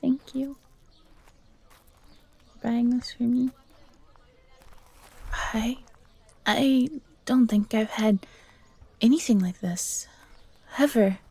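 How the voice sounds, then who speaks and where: A woman voicing a young fox girl, timid but eager and grateful, soft and breathy, in a scripted audio roleplay. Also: a little high, very slow, pauses often, dry recording, heavily acted. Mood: grateful, earnest.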